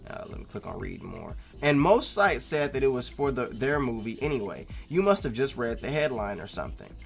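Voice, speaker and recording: Male vlogger, average pitch. Casual commentary, halting and rambling but emphatic, light music underneath. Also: big pitch swings, vocal fry. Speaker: YouTube vlogger. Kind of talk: casual opinionated commentary, replying to viewer comments.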